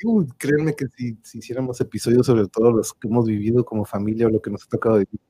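A man's voice: medium pitch, fast, dark tone, breathy edge, dry recording. Man, Mexican accent, mid-range voice, casual livestream host, anecdotal storyteller, chuckling, dry recording. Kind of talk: casual family chat on a livestream.

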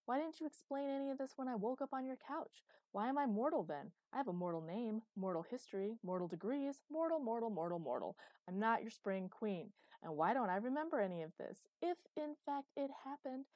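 A woman's voice: mid-range voice, expressive, brisk, mellow, dry recording, pitched above the performer's own voice, natural voice. Young mortal heroine for fantasy audiobook, played by a woman: stubborn, mouthy, indignant, emphatic delivery. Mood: indignant, accusing. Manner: defiant, sarcastic, emphatic.

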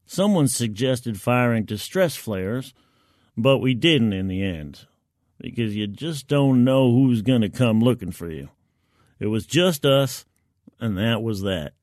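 A man's voice: mid-range voice, lively intonation, a little slow, darkish tone, no room sound. Dry-sounding male sci-fi story narrator. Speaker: fiction narrator. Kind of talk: first-person scripted sci-fi narration in a colloquial soldier's voice.

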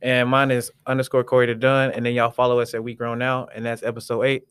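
Young man, podcast host, relaxed, halting, repeats himself, dry close sound, mid-range voice. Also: medium pitch, clear, resonant tone, dry recording. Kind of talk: casual group discussion.

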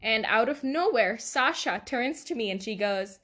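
A woman's voice: fairly high pitch, lively intonation, normal speed, pushed and bright. A woman with a noticeable accent hosting her own podcast, rambling and laughing at herself as she chats. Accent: non-native accent. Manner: rambling, self-deprecating, laughs often. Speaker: podcast host. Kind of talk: casual solo podcast chat.

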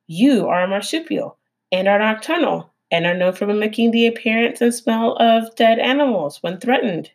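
A woman voicing a polite female robot who speaks in a stiff, overly formal and precise way, with a husky voice. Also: fairly high pitch, animated, normal speed, pitched above the performer's own voice. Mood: plain.

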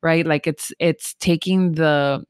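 A woman's voice: low voice, steady tone, slow pace, smooth, dry recording, studio quality. A Cuban American woman, a theatre company co-founder, talking animatedly as a podcast guest, often restarting her phrases. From United States. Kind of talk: casual podcast interview.

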